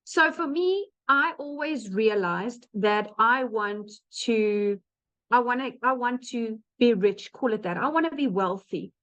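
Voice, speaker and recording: A woman's voice: fairly high pitch, expressive, unhurried, a bit bright. A woman in her late thirties with a South African accent gives an informal, earnest testimonial to an online audience, speaking directly and emphatically to listeners. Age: late 30s. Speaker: webinar speaker, digital marketer. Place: online webinar call. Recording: dull lo-fi online call audio.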